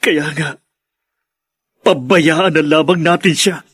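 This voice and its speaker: Stubborn bitter brother, Filipino accent, high-pitched, rough-edged, radio drama, dry recording. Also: male voice, fairly even intonation, takes it slowly, fairly bright tone, no echo, full character voice. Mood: bitter resentment. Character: bitter, resentful grown son, stubborn elder brother.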